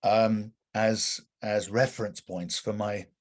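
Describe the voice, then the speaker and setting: A man's voice: fairly low pitch, flat delivery, slow pace. A middle-aged man from North London with a slight Welsh lilt, telling rambling stories as a podcast guest, with many fillers and restarts. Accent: slight Welsh lilt over London English. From North London, England. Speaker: guest actor and director. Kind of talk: relaxed anecdotal podcast interview.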